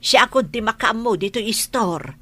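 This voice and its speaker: A woman playing a kindly, persuasive middle-aged aunt in an audio drama, with a husky voice. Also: on the high side, lively intonation, moderate pace, a bit bright. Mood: encouraging.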